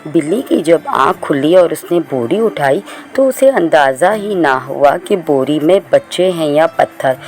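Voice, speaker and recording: A woman tells a children's tale in scripted narration. Her voice is on the low side and her pace is slow and measured, with music playing faintly behind. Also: creaky, a little mellow. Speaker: storyteller.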